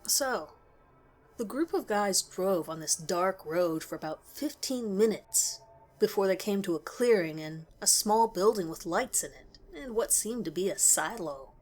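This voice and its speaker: A woman reading a scripted first-person horror story in a measured storytelling way with expressive emphasis. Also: mid-range voice, faint music behind.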